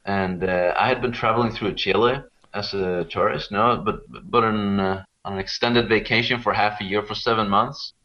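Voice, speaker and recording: Low-pitched man, dull audio. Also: fairly even intonation, normal speed, hardly any pauses. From Sweden. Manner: informal, explanatory, often checking with "you know". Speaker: interview guest, local expert on Rapa Nui. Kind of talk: conversational explanation.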